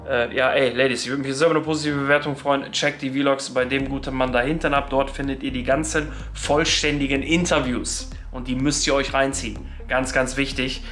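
A man's voice: medium pitch, flat delivery, fairly quick, few pauses, fairly bright tone, faint music behind. Chatty slangy man vlogging on a phone. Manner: slangy, chatty, talks straight to viewers. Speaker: vlogger host. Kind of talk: casual vlog chat. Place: arena crowd, then hotel room. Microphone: phone held at arm's length.

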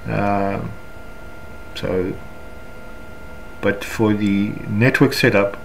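Low-pitched South African man, noisy recording. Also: flat delivery. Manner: halting, step-by-step explaining. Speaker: software tutorial instructor. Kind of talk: unscripted screencast walkthrough. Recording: clear background noise, room ambience.

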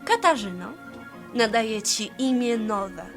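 A woman playing a bossy, easily exasperated young would-be high priest in an amateur fantasy audio drama, switching between mock-solemn ceremony and scolding. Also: lively intonation, unhurried, music in the background. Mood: solemn, ceremonial. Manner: mock-solemn incantation breaking into irritated scolding.

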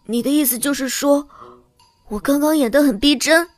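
A woman's voice: high voice, normal speed, faint music behind, lightly acted. A woman voicing a playful, sly young princess consort in a costume romance audiobook, theatrical, moving between meekness and glee. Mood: gleeful, teasing. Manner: theatrical, switching between meek and gleeful.

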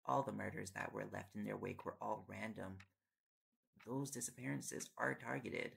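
A man's voice: fairly low pitch, steady tone, moderate pace, gravelly, fairly bright tone, slight background noise. A man playing a tired, affectionate young reporter boyfriend in an intimate audio roleplay, playful and coaxing in manner. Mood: absorbed, musing. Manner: playful, coaxing, rambling when on a topic. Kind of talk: intimate audio roleplay, sleep-aid acting.

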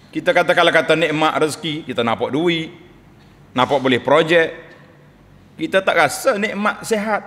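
A middle-aged man with a Kelantan Malay accent, giving a Friday sermon from a mosque pulpit and swinging between loud rises and low, quiet drops. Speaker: preacher. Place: large mosque hall, indoors. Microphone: pulpit microphone on a stand. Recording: long reverb from a large mosque hall.

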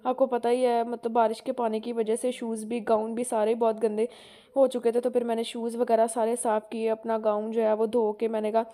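A young woman, speaking a little higher than most women. She chats loosely and rambles with plenty of fillers, laughing here and there. It is recorded on a phone held as she walks outdoors and around her home. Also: level tone, a little fast, warm tone. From Pakistan. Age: young adult. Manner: chatty, rambling, frequent "jo hai na" fillers, laughs often. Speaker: vlogger. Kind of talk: casual walking vlog narration. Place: outdoors on village roads, then at home. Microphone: phone camera held while walking.

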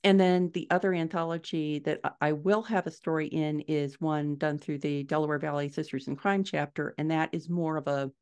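A woman's voice: deep voice, fairly even intonation, moderate pace, few pauses, a little mellow, clear, resonant tone. A middle-aged American woman chatting as a guest author on a podcast, thinking aloud as she explains. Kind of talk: casual podcast interview. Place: home room, indoors. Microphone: video call, desktop mic.

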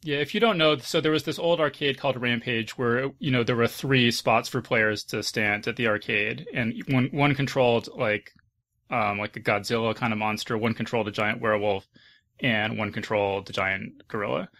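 Male podcast host, mid-pitched, conversational and frank, casual panel chat. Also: fairly even intonation, normal speed, a bit bright. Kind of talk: casual panel discussion of films.

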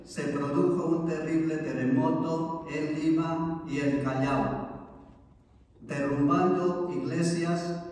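A man preaching as a priest at Mass in a solemn, measured way, in a large church. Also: a little high, darkish tone. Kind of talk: sermon, reading and preaching. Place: large church interior, standing at the altar. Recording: echoey church hall, from far off.

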